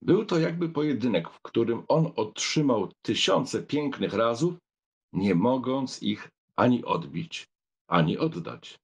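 A man's voice: medium pitch, expressive, a little slow, husky. A man narrating a literary audiobook from a script, as a storyteller.

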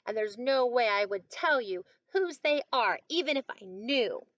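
A woman's voice: on the high side, lively intonation, measured pace, a bit bright, no room sound, lo-fi sound. A woman voicing a blunt, distrustful little forest animal in a children's storybook. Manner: blunt, suspicious.

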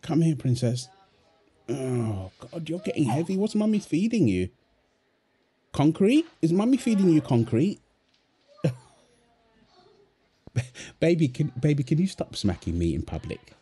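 A man playing a playful, doting young husband and father in a soft, tender ASMR roleplay, teasing as he talks. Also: on the high side, wildly swooping pitch, unhurried, pauses often, husky, exaggerated voice. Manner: teasing, tender, chuckles often while talking. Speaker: audio roleplay performer. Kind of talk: ASMR boyfriend roleplay, one-sided dialogue.

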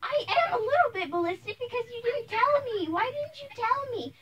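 A woman playing an indignant, protective mother, scolding in a halting, emphatic way in an improvised amateur role-play. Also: high voice, lively intonation, noisy background. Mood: upset, protective.

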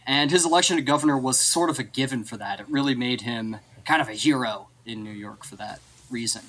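A man's voice: mid-range voice, fairly quick, fairly bright tone, a little hiss or hum. A man hosting a casual history podcast, explaining events from his notes and often laughing with his co-hosts. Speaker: podcast host presenting history.

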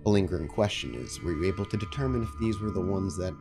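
Man voicing a composed, low-voiced vampire prince. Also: music in the background, natural voice. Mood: coolly probing. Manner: measured, formal, quietly probing.

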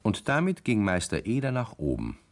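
A man's voice: on the low side, huge pitch swings, moderate pace. A man narrating a radio play in a scripted storybook style.

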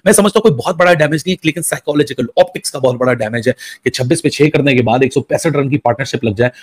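A man from Pakistan analysing cricket in a fast, reasoned, rhetorical way. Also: medium pitch, slightly rough, no echo.

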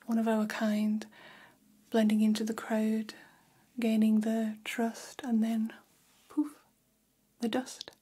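A woman acts out a catty female vampire hairdresser for an ASMR roleplay. She whispers very breathily, slow and soft, with a mellow tone. She confides salon gossip up close in an even, hushed line. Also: on the high side, slightly rough. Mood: soothing, intrigued. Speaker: ASMR performer. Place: small decorated room, indoors. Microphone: close ASMR microphone.

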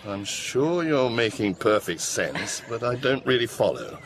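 An actor playing a blunt, haunted military captain who questions things tersely in a radio drama. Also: male voice, mid-range voice, very animated, measured pace, a bit bright. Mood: puzzled, dry. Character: gruff military captain, haunted, practical, adult man. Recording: music under the dialogue.

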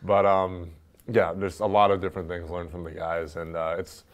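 A young man who grew up in Turkey, a basketball player, answering interview questions in a relaxed, chatty way with lots of fillers, recorded on a lapel mic indoors. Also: low-pitched, moderate pace. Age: young adult. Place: indoor room with wood-panel wall.

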